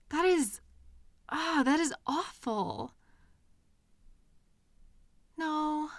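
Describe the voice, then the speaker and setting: Bright, unhurried caring girlfriend played by a woman. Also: sits high, no echo, clean studio sound, full character voice. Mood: sympathetic, indignant, then gentle, reassuring. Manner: tender, expressive, with soft pauses and questions. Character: caring, gently indignant woman comforting her upset partner. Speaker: audio roleplay performer. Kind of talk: intimate comfort roleplay audio, speaking to the listener. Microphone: close microphone in a dry room.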